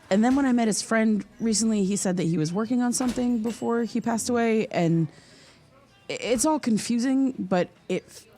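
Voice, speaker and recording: A woman's voice: on the low side, warm tone, close to an everyday voice. A woman playing an earnest, sleepless podcast host in an audio drama, speaking in a hesitant, searching way. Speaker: podcast host interviewing a witness. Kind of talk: naturalistic audio drama dialogue.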